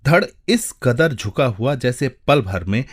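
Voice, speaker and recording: A man giving a dramatic, measured narration of a short story, with deliberate pauses. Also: mid-range voice, very animated, husky. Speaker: voice-over narrator.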